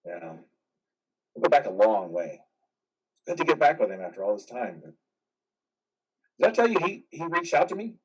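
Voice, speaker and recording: A man's voice: medium pitch, very animated, moderate pace, pauses often, breathy edge, distant mic, poor audio. A man playing a troubled, defiant war veteran in psychiatric care, earnest and naturalistic in a dramatic play reading. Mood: calmer, hopeful.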